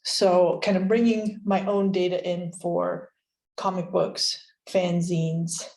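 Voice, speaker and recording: A woman's voice: on the low side, level tone, slow, darkish tone, echoey room, poor audio. A woman with an American accent giving a friendly, chatty research seminar with frequent fillers and light laughs. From United States. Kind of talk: academic seminar talk. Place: university seminar room.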